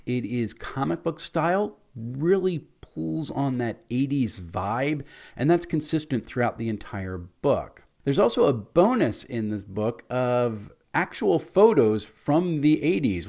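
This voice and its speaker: Male reviewer, hoarse and scratchy, easygoing and conversational, mid pitch. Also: a little mellow. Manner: conversational, mildly critical. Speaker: game reviewer. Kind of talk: casual product review.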